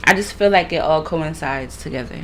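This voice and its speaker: A woman's voice: deep voice, brisk, a little hiss or hum. A woman stylist talking casually as an interview guest, informal and peppered with "like". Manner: informal, frequent "like".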